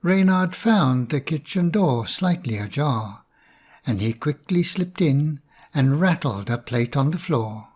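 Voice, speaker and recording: A man narrating a fairy tale in a measured, even reading. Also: on the high side, slightly rough. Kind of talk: storybook narration.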